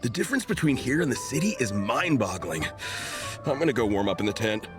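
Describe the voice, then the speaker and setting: A man's voice: mid-range voice, big pitch swings, brisk, natural voice. A man acting as a laid-back young fellow in a video game, casual and grumbling. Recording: background music under the dialogue.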